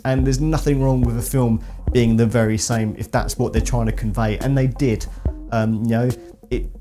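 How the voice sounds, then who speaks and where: A man's voice: medium pitch, warm tone. A man hosting a video game channel, giving casual, rambling opinions in an informal, meandering way. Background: background music with guitar.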